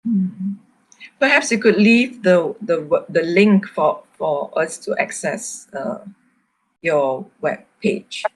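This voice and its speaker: A woman's voice: on the low side, lively intonation, slow, husky, low-quality recording. A woman, an artist moderating a conversational group discussion, speaking tentatively with frequent small verbal asides. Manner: tentative, repeats "you know".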